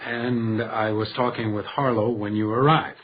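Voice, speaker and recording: A man's voice: fairly low pitch, steady tone, moderate pace, husky, muffled recording. A man playing a dutiful, stubborn hotel detective in a radio crime drama, plain-spoken and understated. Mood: confident, insistent.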